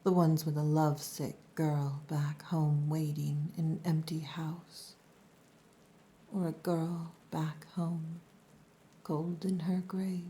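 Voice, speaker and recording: Woman doing a bossy possessive orc wife, dark mellow voice, soft and breathy, real slow, asmr roleplay. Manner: low, slow, commanding, often breathy near-whisper. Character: stern possessive orc wife, gruff huntress caretaker.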